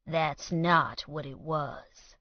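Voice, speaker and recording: A woman voicing a tough man holding back his anger, speaking in a slow, quiet drawl. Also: deep voice, dry recording, heavily acted. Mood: cold. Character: tough rough-edged young man, tightly controlled anger. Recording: dull lo-fi dry read.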